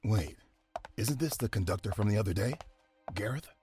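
Man playing a low-voiced police investigator. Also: lively intonation, normal speed, over music, natural voice. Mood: brisk, puzzled. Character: businesslike police investigator searching a crime scene. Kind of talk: audio drama acting.

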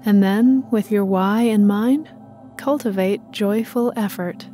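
Female meditation narrator, unhurried and soothing, soft dark tone, faint music underneath. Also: mid-range voice. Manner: calm, soothing, measured. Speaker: meditation guide.

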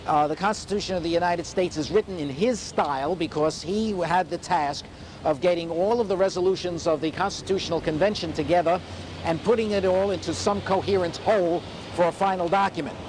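An older man, leading a walking tour as a historian and telling its history with animated, pun-loving lecturing. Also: high voice, a little fast. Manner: animated storytelling lecturer, fond of puns. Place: outdoors on a city street by a graffiti wall. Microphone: camcorder a few feet away. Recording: noisy 1980s camcorder street recording. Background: city traffic.

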